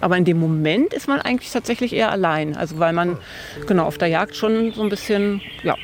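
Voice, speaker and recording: A woman in her fifties talks in a relaxed, thoughtful way about hunting, full of little fillers. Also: on the low side, fast talker, background noise. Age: 50s. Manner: thoughtful, lots of fillers like tatsächlich and also. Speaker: hunter interviewed about her hobby. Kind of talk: relaxed conversational storytelling. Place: outdoors by a field.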